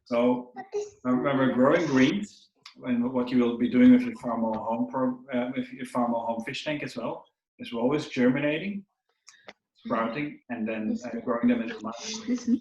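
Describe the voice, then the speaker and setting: A man's voice: mid-range voice, normal speed, darkish tone, dull audio, a little hiss or hum. A man whose first language is Dutch teaches children over a video call in a friendly way, often repeating words as he searches for them. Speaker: workshop instructor. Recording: roomy echo.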